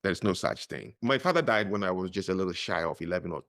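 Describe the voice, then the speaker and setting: A man's voice: deep voice, fast talker. A middle-aged man speaking as a podcast guest, telling stories and making points with emphasis. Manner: emphatic, animated storyteller. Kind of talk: conversational interview.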